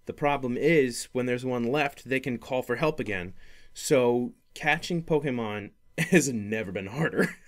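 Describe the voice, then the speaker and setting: A man's voice: mid-range voice, a little slow. A man chatting casually about gaming as a podcast host, in a rambling, hesitant way with frequent restarts. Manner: hesitant, rambling, often repeating and restarting phrases.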